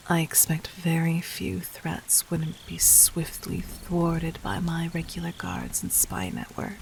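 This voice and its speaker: Woman playing a slow, low-voiced gentle demon queen. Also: a little hiss or hum. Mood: composed, persuasive. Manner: slow, soft breathy near-whisper, tender and formal. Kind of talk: intimate audio roleplay addressed to the listener.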